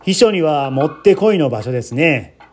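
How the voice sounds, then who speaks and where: A man voicing a calm, shrewd police inspector who questions a suspect in a measured, probing way in a radio mystery. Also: fairly high pitch, a little hiss or hum, voice pushed down, lightly acted. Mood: calm, listening.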